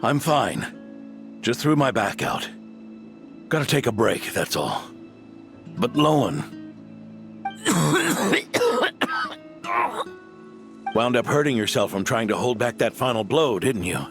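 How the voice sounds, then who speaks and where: A man's voice: mid-range voice, huge pitch swings, slow, vocal fry, pauses often, over music, heavily acted. An actor voicing a weary, caring older male veteran in a video game, wry and matter-of-fact.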